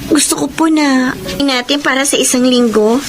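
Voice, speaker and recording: An actress playing a dutiful, selfless young granddaughter in an emotional radio drama, speaking earnestly and respectfully. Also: female voice, high voice, normal speed. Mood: earnest, gently firm.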